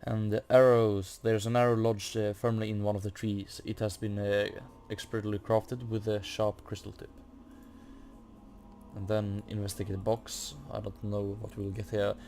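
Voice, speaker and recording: A man's voice: lowish voice, level tone, normal speed, creaky, darkish tone. A man giving a casual game walkthrough, hesitantly muttering to himself and reading text off the screen. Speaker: gaming video host. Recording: faint noise from a fan. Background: computer or room fan.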